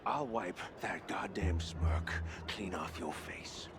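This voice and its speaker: An actor playing a cantankerous, haughty old American father with a gravelly voice in a game cutscene. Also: low-pitched, takes it slowly, exaggerated voice. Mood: belligerent. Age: older. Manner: blustering, haughty. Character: cantankerous, pompous, indignant old father fallen on hard times. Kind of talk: theatrical game cutscene acting. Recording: clearly noisy street ambience.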